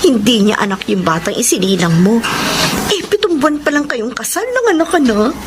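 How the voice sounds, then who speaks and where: A woman's voice: fairly high pitch, animated, a little fast, background noise, close to an everyday voice. A woman playing a gossipy, teasing young friend in a Filipino radio drama. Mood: teasing, nosy.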